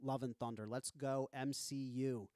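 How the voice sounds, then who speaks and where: A man co-hosting a podcast with excitable, casual, reactive banter, recorded close on the microphone. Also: medium pitch, unhurried, dry recording. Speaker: podcast co-host.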